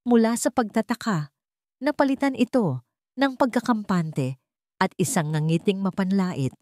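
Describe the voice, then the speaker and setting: A woman's voice: mid-range voice, expressive, normal speed, darkish tone, dry recording, clean studio sound. A woman narrating a scripted dramatic story as an audiobook narrator.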